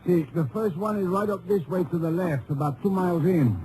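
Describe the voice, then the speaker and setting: A man's voice: sits high, a little fast, loud music behind the voice, close to an everyday voice. A man playing a loyal, observant tracker in an old-time radio western, polite and a little hesitant. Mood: respectful, cautious. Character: loyal, observant Spanish-speaking posse tracker. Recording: noisy old radio show recording.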